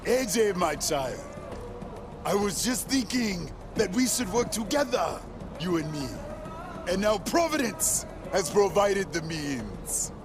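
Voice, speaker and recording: A man's voice: sits high, animated, slow pace. A man playing a zealous, eccentric soldier preacher in a video game, grandiose and emphatic in his sermonizing. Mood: scheming, genial. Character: zealous gunrunning preacher, eccentric war-hungry male soldier. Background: music.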